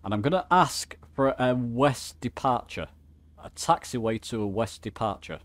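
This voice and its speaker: A man's voice: mid-range voice, expressive, normal speed, dry recording. A man giving a casual tutorial, talking informally, thinking aloud and correcting himself as he goes. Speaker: flight simulator tutorial host. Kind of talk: casual instructional screen-share commentary.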